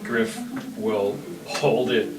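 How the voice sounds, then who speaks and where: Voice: mid-range voice, big pitch swings, extremely slow, creaky, far from the mic, music in the background. A tabletop game player casually narrating a character's actions, recorded roomy and off the mic.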